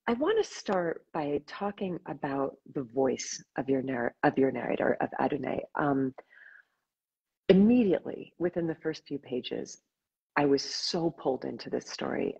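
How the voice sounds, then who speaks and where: A woman's voice: low voice, animated, normal speed, creaky, dark tone, slightly rough, dull audio. An older woman hosting an interview over a video call, speaking with effusive enthusiasm about a novel she loved. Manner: effusive, enthusiastic, laughs often. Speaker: host. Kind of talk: casual interview. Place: home room with plants.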